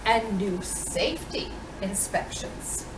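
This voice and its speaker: A woman reading a children's picture book aloud in an expressive, deliberate storytelling manner with dramatic pauses. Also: medium pitch. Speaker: storytime reader playing Mrs. Claus. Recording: roomy, distant microphone with clear background noise.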